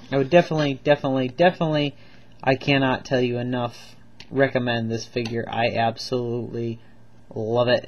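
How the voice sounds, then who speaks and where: A man's voice: mid-range voice, dull audio. A man with a cold reviewing toy figures in a casual home video, rambling and enthusiastic with lots of ums and repeats. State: stuffed up with a cold, coughing.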